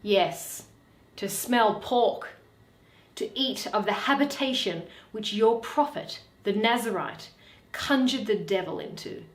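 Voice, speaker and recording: A woman's voice: medium pitch, lively intonation, slow pace. A woman voicing a shrewd, bitter male moneylender in a Shakespeare play, drawing out and weighing each phrase. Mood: scornful. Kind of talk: dramatic Shakespeare reading. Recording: roomy echo.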